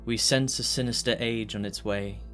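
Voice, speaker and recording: A man playing an ominous reciter of prophecy in an audio drama, solemn, measured and portentous. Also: fairly low pitch, fairly even intonation, a bit bright, close to an everyday voice. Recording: faint music behind the voice.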